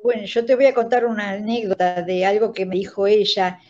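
A woman's voice: medium pitch, flat delivery, a little fast, dark tone. An effusive, sentimental Argentine woman in her sixties, chatting as an interview guest about her work. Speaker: radio drama director, guest. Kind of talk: casual conversational interview. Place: indoors at home. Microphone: phone or laptop on video call. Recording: dull lo-fi video call.

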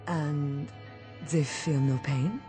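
Low-voiced, devoted servant woman. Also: fairly even intonation, drawn-out words, mellow, faint music behind. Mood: concerned. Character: devoted, caring female robot servant, resigned. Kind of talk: radio drama acting.

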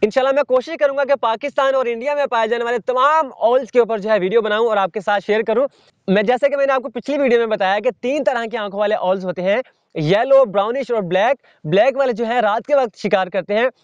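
A young man hosting a wildlife channel, explaining calmly to the viewer while sitting on open sandy ground outdoors. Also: very high voice, dry recording, lo-fi sound. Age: young adult. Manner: measured, teacherly, addresses viewer directly.

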